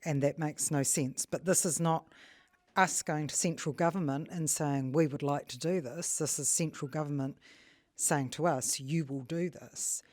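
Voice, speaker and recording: A woman explaining things in a measured way as she facilitates a public meeting. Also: sits low, a little mellow.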